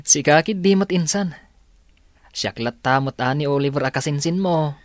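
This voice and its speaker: A man's voice: fairly high pitch, moderate pace, dry recording, studio quality, close to an everyday voice. An actor playing an earnest, grateful young policeman in a radio drama, with heartfelt delivery. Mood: friendly. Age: young adult. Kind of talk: Filipino radio drama acting.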